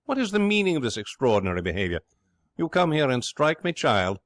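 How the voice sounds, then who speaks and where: A man's voice: on the low side, moderate pace, fairly bright tone, no room sound, close to an everyday voice. A man voicing an indignant, stiffly dignified working-class father in an audiobook story. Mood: outraged. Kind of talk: audiobook character acting.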